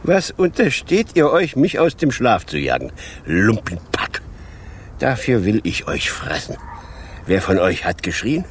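A man's voice: lowish voice, very animated, measured pace, a little hiss or hum. An actor playing a boastful, grumpy, sly devil in a theatrical radio play, switching between threats and folksy wit. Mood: mocking, threatening. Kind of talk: German radio play acting.

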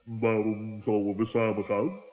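Man doing a grumpy suspicious owl, slow talker, echoey muffled old radio play. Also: fairly low pitch, level tone, soft background music, lightly acted. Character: grumpy, distrustful male owl. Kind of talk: 1960s Dutch children's radio play acting.

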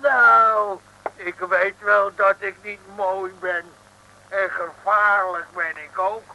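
A man's voice: sits high, steady tone, slow, sharp tone, exaggerated voice. A man playing a lonely, timid abominable snowman who whines and pleads in a comic Dutch radio serial. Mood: plaintive pleading. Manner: whining, pleading. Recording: noisy old radio recording.

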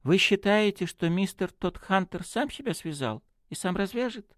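A tiny flustered priest for an audiobook, really high voice, swoops all over, childlike and gushing. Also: male voice, very animated, normal speed, darkish tone, no room sound, studio quality. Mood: sheepish, puzzled. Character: excitable, apologetic, stubby little male priest with childlike wonder. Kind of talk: audiobook character acting.

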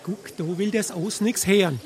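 An actor playing a stubborn small-time creditor in a stage comedy, insisting in Darmstadt Hessian dialect. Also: male voice, high voice, normal speed, close to an everyday voice. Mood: insistent. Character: stubborn creditor pestering a debtor for small change.

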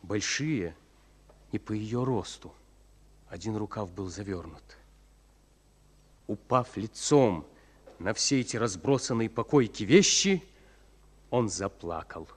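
A man narrating a wartime radio play as a solemn storyteller, with measured, weighty literary phrasing and pauses. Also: lowish voice, animated, husky, heavily acted. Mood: somber, tender. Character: solemn storyteller of a war tale.

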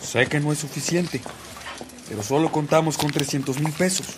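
A respectful, apologetic official, played by a man in a radio drama. His voice is somewhat high, against clearly audible background noise. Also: moderate pace, lightly acted. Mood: apologetic, grave. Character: deferential male official reporting scant funds to bishop.

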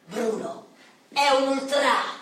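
An actress plays a volatile Roman wife in a stage-style radio drama. Her voice is high and forceful, drawn out and theatrical. It is recorded from far off in a very echoey hall. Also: takes it slowly. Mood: bitter. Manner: theatrical, emphatic, edgy. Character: volatile, defiant, battered wife of a Roman hooligan. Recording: echoey hall from afar, hissy.

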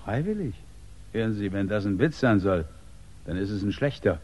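Brusque police sergeant with swinging intonation. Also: male voice, on the low side, lively intonation, normal speed, a little mellow. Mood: gruff, sceptical. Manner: brusque, dismissive, curt. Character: gruff, sceptical English police sergeant on night desk. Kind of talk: 1960s German radio crime drama acting. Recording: dull 1960s radio recording.

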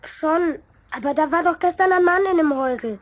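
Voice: moderate pace, no echo. A performer playing an uneasy, cautious Bavarian schoolboy in a children's radio play, with a childlike voice and hesitant delivery. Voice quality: high childlike voice. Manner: hesitant, wary.

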